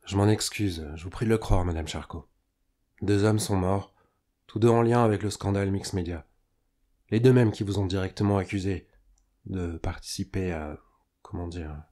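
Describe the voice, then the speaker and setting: Actor as a weary male inspector, lowish voice, measured, dryly sarcastic, insistent, audio drama. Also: steady tone, warm tone, natural voice. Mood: measured, polite. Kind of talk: French audio drama acting.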